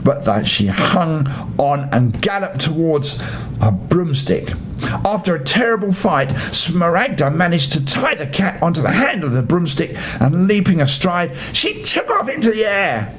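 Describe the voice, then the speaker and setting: An older man reading a children's bedtime story with expressive narration. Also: measured pace. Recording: faint rumbling background noise.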